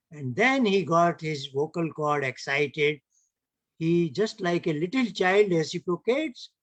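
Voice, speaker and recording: A man with an Indian English accent lectures slowly and emphatically, and he often ends a point with a rhetorical tag question. His voice sits somewhat high for a man. The sound is dry and close to the mic. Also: no echo. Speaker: lecturer at an online heritage camp. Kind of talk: online lecture.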